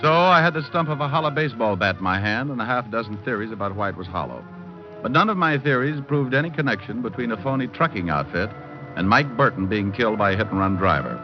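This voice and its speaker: Low-voiced wry private eye played by a man. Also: expressive, quick pace, close to an everyday voice. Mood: wry, cool. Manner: wry, dry wit. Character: wisecracking, cool male private detective. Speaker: lead and narrator. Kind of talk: 1940s-50s hardboiled radio narration. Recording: dull old radio recording. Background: music.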